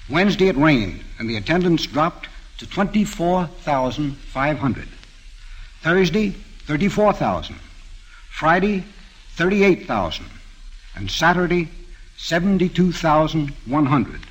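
Husky, formal American man on old radio. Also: fairly high pitch, big pitch swings, a little slow, rough recording. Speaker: guest observer on a quiz program. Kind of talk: formal prepared remarks on 1940s radio.

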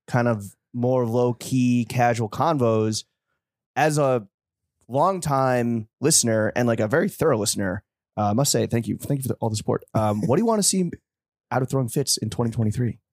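A man's voice: medium pitch, moderate pace, dry recording, clean studio sound. A man hosting a podcast in casual, slangy chat with a joking manner. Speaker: podcast host.

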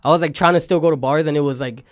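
Young man, Venezuelan accent, Spanish first language. Clear, smooth tone, dead-dry booth sound, rambling casual storyteller. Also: fairly high pitch, racing, no room sound. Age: young adult. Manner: rambling, frequent "like" fillers, relaxed storytelling. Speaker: podcast guest. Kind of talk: casual chat.

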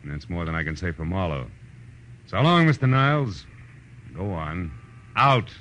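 A deep-voiced hard-boiled American detective. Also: male voice, very animated, measured pace, noisy background. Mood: curt, skeptical. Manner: tough, terse, sardonic. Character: hard-boiled male private detective, wry and blunt. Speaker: lead actor and narrator. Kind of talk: 1940s radio detective acting, first-person narration. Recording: old radio recording, music behind.

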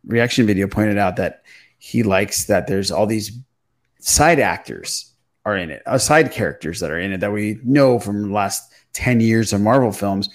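A man's voice: on the low side, moderate pace. A man hosting a podcast, chatting casually about films with a panel. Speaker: podcast host.

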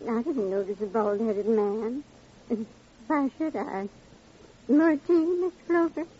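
An actress playing a dainty, chatty, slightly dotty widow with affected manners in an old radio drama. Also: female voice, a little high, takes it slowly, warm tone. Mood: prim. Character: genteel, dotty widow who enjoys attending strangers' funerals. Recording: muffled, noisy old radio recording.

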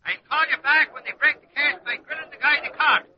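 An actor playing a brash, slangy, excitable newspaper reporter in 1940s radio style. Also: male voice, extremely high pitch, a little fast. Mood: brisk, eager. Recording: dull old radio recording.

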